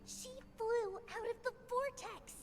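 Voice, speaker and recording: A woman voicing a small, excitable, cute companion creature in a video game, with exclamatory delivery. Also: very high voice, slow pace, slight background noise. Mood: urgent, curious.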